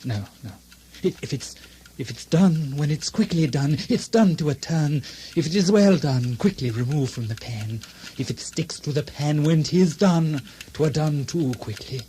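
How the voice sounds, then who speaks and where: A man's voice: fairly high pitch, expressive, a little slow, dark tone. A man acting a bumbling, easily led Scottish thane in a comic radio drama, hammy and dithering, with muttered asides. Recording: hissy, noisy vintage radio drama recording.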